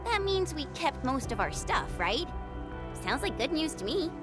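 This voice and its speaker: A woman's voice: high voice, very animated, moderate pace. A woman voicing a casual, upbeat young operative in video game story dialogue. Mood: upbeat, agreeable. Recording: music behind the voice.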